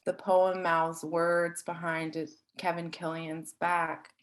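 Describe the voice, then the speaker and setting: A woman hosting an event, reading out a prepared, poetic introduction in a measured, deliberate and formal way. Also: fairly low pitch, level tone. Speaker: event host introducing a speaker.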